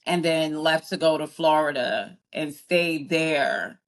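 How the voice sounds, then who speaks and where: A woman's voice: low voice, takes it slowly. A woman in her early forties, born in Jamaica, chatting as an interview guest, rambling and correcting herself as she goes. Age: early 40s. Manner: rambling, self-correcting, laughs often. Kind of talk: casual interview chat.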